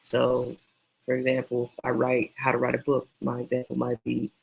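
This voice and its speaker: A man teaching writing in a casual, meandering, encouraging way, his voice going hoarse. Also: mid-range voice, monotone, normal speed, low-quality recording. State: losing voice, hoarse. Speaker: self-published author teaching writing.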